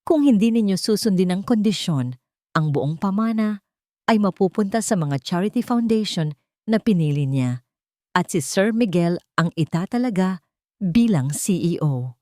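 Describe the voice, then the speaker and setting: Lady reading as a male lawyer in a love drama, calm, polite, very formal, dry sound. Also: mid-range voice, normal speed, no echo, close to an everyday voice.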